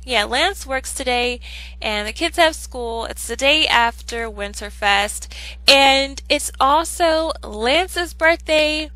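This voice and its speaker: A woman's voice: high-pitched, slow, sharp tone, dry recording, rough recording. A woman chatting casually through a life-sim game playthrough, upbeat and rambling with fillers, her throat slightly ticklish from a coming cold. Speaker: let's play host. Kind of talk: casual gameplay commentary.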